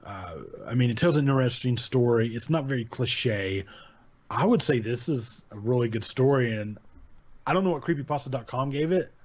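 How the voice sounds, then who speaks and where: A man's voice: mid-range voice, lively intonation, normal speed, highs cut off. A man hosting a casual chat about horror stories, rambling, repeating his words and laughing often. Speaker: channel host.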